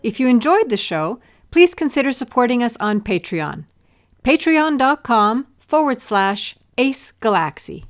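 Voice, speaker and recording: A woman's voice: fairly high pitch, big pitch swings, unhurried, soft background music. A woman working as an announcer, reading a scripted promotional message.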